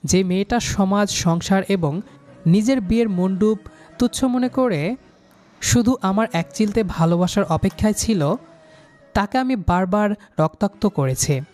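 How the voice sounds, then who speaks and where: A man's voice: high voice, unhurried, darkish tone. A man narrating a romantic story in the first person, dramatically, with an earnest and emotional delivery. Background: background music.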